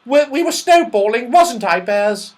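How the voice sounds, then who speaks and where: A man voicing a cheerful little girl in a storybook, talking in a high, eager way. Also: animated, unhurried.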